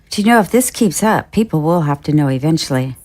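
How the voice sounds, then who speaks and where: A woman's voice: low voice, expressive, fast, a little mellow. A woman playing a loyal, caring nurse in an audio drama, conversational, deferential yet frank. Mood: concerned.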